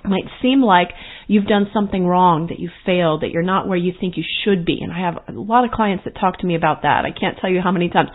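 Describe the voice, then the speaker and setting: A woman's voice: fairly low pitch, fast talker. A woman hosting her own podcast, talking earnestly and reflectively about life paths and now and then acting out the thoughts of her clients. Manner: earnest, reflective, with quoted inner voices. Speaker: podcast host and coach. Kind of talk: solo podcast monologue. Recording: phone-like narrow sound.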